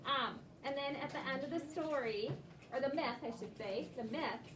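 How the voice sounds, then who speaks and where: A woman teacher with a fairly high voice leads a read-aloud discussion in an echoey room. She prompts with questions and affirms answers. The microphone is at a distance, with clear noise behind her. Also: moderate pace. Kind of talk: interactive read-aloud lesson with children.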